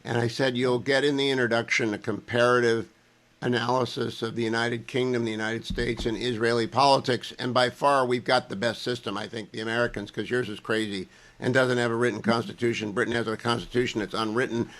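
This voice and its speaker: A male talk radio host with a middle-pitched voice. He interviews his guest conversationally, stacking asides and anecdotes into long questions before landing on the point. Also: steady tone, a little fast, a bit bright.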